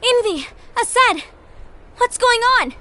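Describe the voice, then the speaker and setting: A woman's voice: very high-pitched, expressive, slow pace, pushed and bright, a little hiss or hum, heavily acted. An actress playing an anxious, pleading young girl warrior in a video game. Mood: distressed.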